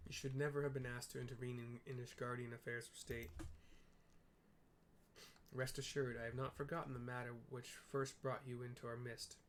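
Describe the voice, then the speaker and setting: A courteous noble from a fantasy game , read aloud by a man. The voice is medium in pitch, and the phrasing is formal and polite, that of a well-mannered ally. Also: level tone, brisk, slight background noise, close to an everyday voice. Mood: apologetic, courteous.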